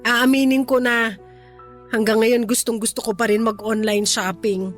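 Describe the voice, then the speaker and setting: A woman acts out a middle-aged Filipino mother in an emotional radio drama. Her voice is high and expressive, full of affection and regret as she pleads tenderly. Music plays softly behind her. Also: normal speed, close to an everyday voice. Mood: earnest, light. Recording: music bed under the dialogue.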